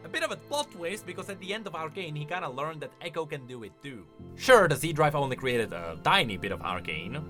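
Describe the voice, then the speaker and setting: Male lore commentator: casual and animated, very lively pitch swings, forceful bright tone, thinks aloud. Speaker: video host, game lore commentator.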